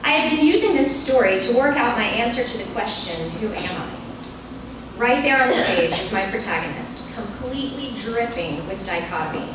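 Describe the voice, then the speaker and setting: A woman's voice: on the high side, lively intonation, normal speed, far from the mic. Wry woman reading aloud in echoey room. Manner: wry, self-deprecating, performative storytelling. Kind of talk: humorous personal essay read to a live audience. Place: bookstore reading event. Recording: echoey, noisy room, recorded from afar.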